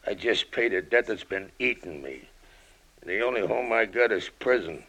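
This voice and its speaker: An actor playing a hard, tired old American ex-convict in an old-time radio crime drama, talking tough underworld slang with menace. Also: male voice, lowish voice, gravelly, sharp tone, full character voice, low-quality recording. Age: older.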